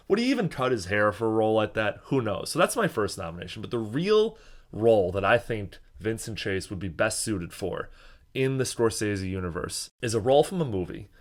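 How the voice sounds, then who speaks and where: Chatty male podcast host, lowish voice. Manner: chatty, jokey, self-deprecating, swears casually. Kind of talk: casual solo podcast monologue. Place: echoey hotel room. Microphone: small microphone.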